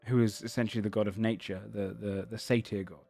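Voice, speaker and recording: A man's voice: lowish voice, steady tone, fast talker, warm tone, dry recording, soft background music. A man narrating a tabletop game as game master, describing the scene in a searching, descriptive way.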